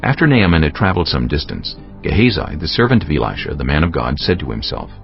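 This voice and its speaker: Deep, gravelly male scripture narrator. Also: big pitch swings. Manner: solemn, measured storytelling. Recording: dull lo-fi sound, faint music bed.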